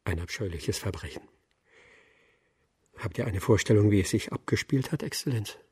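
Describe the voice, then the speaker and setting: An actor playing a deferential, knowledgeable aide to a judge in a German radio drama, with a rough, husky voice. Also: male voice, on the low side, normal speed, mellow. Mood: grave. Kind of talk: German radio play acting.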